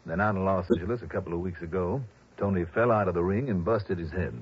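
An actor playing an earnest, worried insurance man in a 1960s radio drama, speaking gravely and courteously with a husky voice. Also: deep voice, fast. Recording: muffled old radio broadcast.

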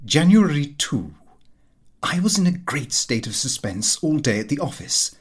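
A man reading a comic diary aloud as expressive audiobook narration. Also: a little high, a little slow, slightly rough. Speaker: audiobook reader.

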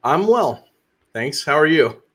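A man's voice: fairly high pitch, sing-song, slow pace. A man co-hosting a podcast, speaking hesitantly with frequent restarts and small laughs. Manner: hesitant, many restarts and chuckles. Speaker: podcast co-host. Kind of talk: podcast conversation.

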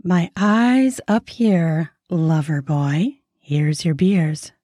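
A man's voice: fairly high pitch, very slow, mellow, no echo, clean studio sound, voice pushed up. A man voicing a bawdy, sly frontier barkeep woman with a Minnesota accent and a teasing, drawling delivery. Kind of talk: audiobook character voice.

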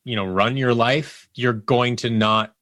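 A man's voice: medium pitch, steady tone, measured pace, fairly bright tone, no room sound, clean studio sound. A man speaking as an interview guest, conversational, earnest and reflective as he explains things. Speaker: interview guest, audio drama producer.

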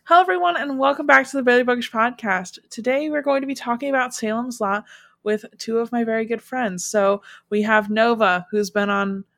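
A woman's voice: fairly high pitch, a little fast, creaky, no echo, studio quality, soft background music. A young adult woman hosting a podcast, chatting casually with many filler words. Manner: chatty, frequent "like" fillers, animated. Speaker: podcast host.

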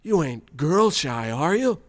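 Man playing a high-pitched folksy country storyteller. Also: very animated, slow. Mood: folksy, deadpan. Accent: rural American. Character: folksy farm boy reminiscing as storyteller, naively smug. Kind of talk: scripted first-person storytelling, radio parody.

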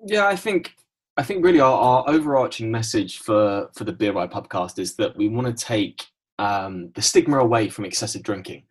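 A man's voice: fairly low pitch, animated, moderate pace, husky. A British man in his twenties co-hosting a podcast, chatting in a conversational, self-deprecating way. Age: 20s. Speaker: podcast co-host. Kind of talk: casual chat and anecdotes.

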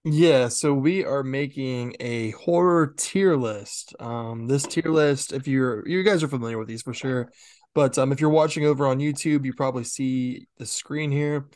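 A man's voice: mid-range voice, moderate pace, darkish tone, no room sound, studio quality. A man co-hosting a horror podcast, explaining casually with lots of fillers and easy laughs. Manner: chatty, laughs easily, filler-heavy explaining. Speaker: podcast co-host.

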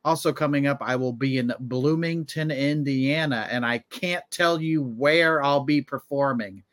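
A man's voice: mid-range voice, measured pace, fairly bright tone. A man who does stand-up, co-hosting a comedy podcast in a casual, joking way.

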